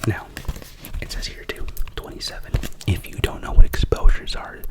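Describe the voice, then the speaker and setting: Whispering man, ASMR unboxing host. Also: moderate pace. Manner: rambling, chatty whisper with self-corrections. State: sick with a cough.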